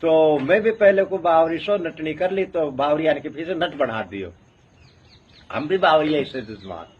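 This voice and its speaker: A man's voice: a little high, expressive, a little slow, muffled recording, a little hiss or hum. A man with a rural Haryana-Rajasthan accent telling oral history as a traditional community storyteller, emphatic and repeating phrases in a building chant. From North India.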